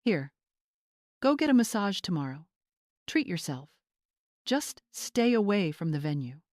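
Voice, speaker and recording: A woman's voice: fairly low pitch, sing-song, a little slow, a little mellow, no room sound, studio quality. Woman voicing a haughty young influencer bride. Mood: smug. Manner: condescending, affected. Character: smug young social-climbing influencer bride, haughty and dismissive. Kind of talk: dramatized audiobook character voice.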